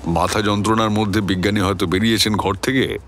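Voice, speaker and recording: A man in a radio drama playing a genial older learned mentor, amused and assured, explaining things in an avuncular, expressive way. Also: fairly low pitch, fast, slight background noise, close to an everyday voice. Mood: genial, expansive. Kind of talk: Bengali radio drama acting.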